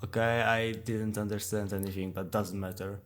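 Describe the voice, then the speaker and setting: Low-voiced man with non-native English. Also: level tone. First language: not English. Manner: halting, searching for words. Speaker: podcast co-host. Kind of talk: casual podcast conversation.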